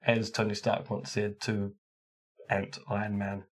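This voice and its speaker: A man co-hosting a podcast, his voice husky from laryngitis with vocal fry, trading dry teasing banter. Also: fairly low pitch, monotone, a little slow. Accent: New Zealand. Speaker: podcast co-host. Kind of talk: casual chat.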